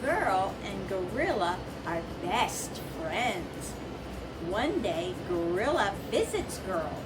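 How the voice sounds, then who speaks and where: Female children's storyteller, slow and very lively in pitch, speaking straight to the kids; distant, noisy recording. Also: loud music behind the voice. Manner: slow, expressive, addressing children directly. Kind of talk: read-aloud storytime for young children. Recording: distant mic with loud background noise.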